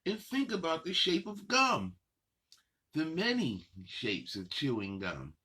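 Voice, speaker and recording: A man with a husky voice reads a picture book to children as a story time host. His pitch swings widely and playfully from phrase to phrase. He takes his time, stretching words out and chatting to the young listeners. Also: wildly swooping pitch, bright, forceful tone. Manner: drawn-out, playful phrasing addressed to kids. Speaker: host reading children's picture books aloud.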